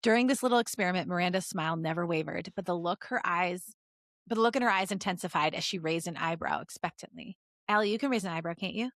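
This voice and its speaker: A woman's voice: on the low side, quick pace, a bit bright, dry recording, clean studio sound. A woman podcast co-host reading fan fiction aloud in a giggly, joking way with casual asides.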